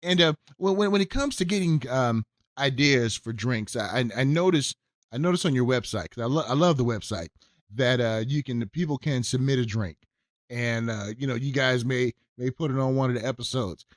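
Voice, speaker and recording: A man's voice: medium pitch, fairly quick, dry recording, studio quality. A male radio host interviewing a guest, enthusiastic and prone to stammered restarts.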